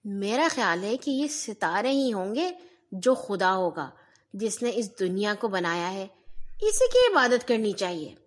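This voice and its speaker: A woman's voice: mid-range voice, sing-song, a little slow. A woman voicing a pondering young male seeker in a children's story, earnest, emphatic and full of wonder. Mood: awed wonder.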